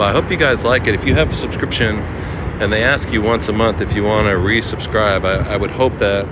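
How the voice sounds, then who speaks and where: Man, livestream host, rambling casual chat, somewhat low voice, outdoors by a waterfront, loud traffic and train noise. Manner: rambling, chatty, reads out viewer names. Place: outdoors by a city waterfront at sundown. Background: city traffic, trains and boats.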